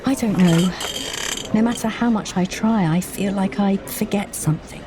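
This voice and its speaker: A woman's voice: mid-range voice, moderate pace, music in the background, pitched above the performer's own voice, close to an everyday voice. A woman voicing an anxious, overworked female boss of a fashion company, fretful and prone to muttering, in an audio drama.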